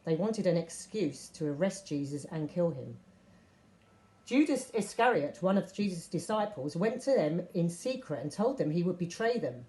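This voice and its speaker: A woman reading a Bible story aloud as scripted narration, with expressive delivery of quoted speech. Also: lowish voice, normal speed, rough recording. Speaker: story reader.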